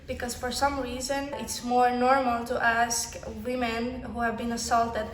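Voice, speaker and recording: A woman from Estonia with a fairly high, level voice answers interview questions. She sounds roomy and echoey. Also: flat delivery, a little slow. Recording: echoey room, faint background noise.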